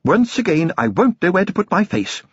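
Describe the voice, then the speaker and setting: A man's voice: high voice, big pitch swings, normal speed, slightly rough, dry recording, lo-fi sound, pitched above the performer's own voice, full character voice. A man voicing a genteel noblewoman with a refined, gushing upper-class lilt. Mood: fond. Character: genteel noblewoman, warm and affectionate.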